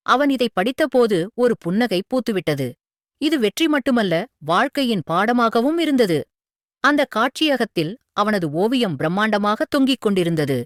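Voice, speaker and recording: A woman reading a scripted moral story for children as a storyteller, with an expressive, earnest delivery. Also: mid-range voice, no room sound, studio quality.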